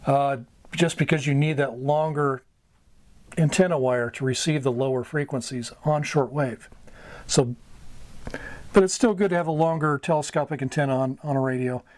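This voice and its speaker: A middle-aged American man giving an informal instructional talk, going item by item in short, halting phrases. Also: medium pitch, steady tone, a little mellow, no echo. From United States. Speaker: amateur radio mentor, video host. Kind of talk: informal instructional explanation at a whiteboard. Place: small wood-panelled room indoors.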